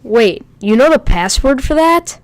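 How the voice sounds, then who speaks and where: A woman's voice: sits high, animated, measured pace. A woman playing a chatty teenage boy speedster in an amateur audio drama.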